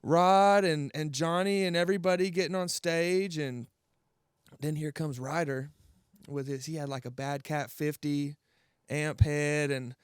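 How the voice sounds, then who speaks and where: A man's voice: fairly high pitch, fairly even intonation, a little slow, clear, resonant tone, no room sound, clean studio sound. A man hosting an interview in a laid-back, upbeat way, full of casual chatter. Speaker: interview host.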